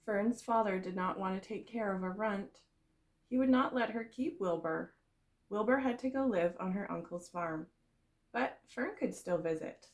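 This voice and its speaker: A woman reading a storybook aloud for young children, in the expressive, explanatory way of a kindergarten teacher. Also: medium pitch, moderate pace.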